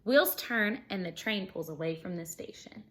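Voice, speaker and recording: A woman's voice: mid-range voice, animated, moderate pace, a bit bright. A woman teacher reading a children's picture book aloud expressively, explaining things simply and putting questions to her young listeners.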